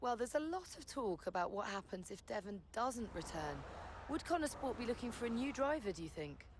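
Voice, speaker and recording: A woman's voice: a little high, big pitch swings, fairly quick, background noise. An actress playing a poised, keen woman motorsport presenter, polished and conversational as she interviews and commentates in a game. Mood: inquisitive.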